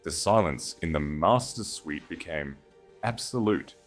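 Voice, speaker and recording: A man's voice: low-pitched, animated, a little slow, faint music behind. A man narrating a period romance story in a dramatic, scripted style. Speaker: audiobook narrator.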